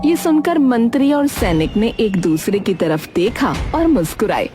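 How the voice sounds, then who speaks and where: A woman's voice: fairly high pitch, very animated, unhurried, music in the background. A woman narrating a scripted children's moral story.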